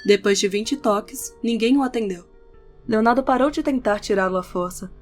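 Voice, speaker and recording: A woman's voice: on the high side, moderate pace. A woman reading scripted narration for an audio novel as its narrator. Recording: background music under the voice. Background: instrumental music with guitar.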